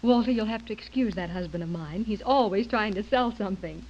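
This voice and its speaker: A woman plays a sociable middle-aged hostess and mother in a radio play. She is mid-pitched, gracious and gently scolding. The sound is muffled, with a faint noise behind it. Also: fairly quick, close to an everyday voice. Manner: polite, smoothing over, gently scolding. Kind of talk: radio drama acting.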